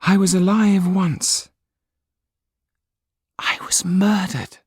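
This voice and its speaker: A man voicing the gentle, eerie ghost of a murdered woman in a breathy, hushed voice. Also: sits high, flat delivery, no echo, clean studio sound, pitched above the performer's own voice, exaggerated voice. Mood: mournful, eerie. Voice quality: breathy, whispery. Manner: slow, hushed, drawn-out delivery.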